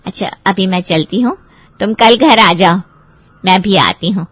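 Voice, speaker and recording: A woman's voice: mid-range voice, measured pace, a bit bright, no room sound. A woman playing a teasing, affectionate elder sister in a family audio drama. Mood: fond, playful. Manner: playful, teasing.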